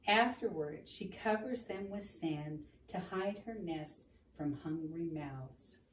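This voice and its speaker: A woman reading a picture book aloud to a child and explaining it in a gentle, teacherly way. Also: deep voice, slow pace. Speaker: children's storytime reader. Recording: roomy, distant mic with room noise.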